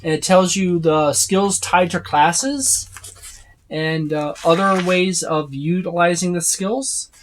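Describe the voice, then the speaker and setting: A man's voice: high-pitched, slow pace, slight background noise. A man giving a casual, unscripted walkthrough as a reviewer, rambling and listing items as he browses. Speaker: tabletop game book reviewer.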